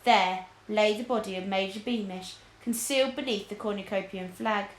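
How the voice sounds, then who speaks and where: A woman reading a children's book aloud as scripted, expressive narration. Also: medium pitch, moderate pace, a little hiss or hum. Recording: roomy, echoing indoor sound.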